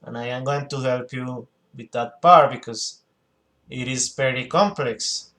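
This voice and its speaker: A man's voice: medium pitch, sharp tone. A man from Colombia with a Colombian accent, a native Spanish speaker, commenting on a clip in English while often stopping and restarting as he hunts for words. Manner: halting, searching for words, many restarts. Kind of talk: reaction commentary.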